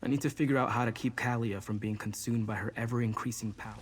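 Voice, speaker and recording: An actor playing a troubled, earnest male wanderer in a video game, hesitant and solemn, with a husky voice. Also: mid-range voice, fairly even intonation, fast, noisy background, natural voice. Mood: solemn, pensive. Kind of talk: video game character dialogue.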